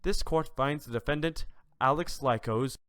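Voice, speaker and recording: A man playing a formal, measured, approving courtroom judge in an amateur audio drama. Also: medium pitch, music in the background, lightly acted.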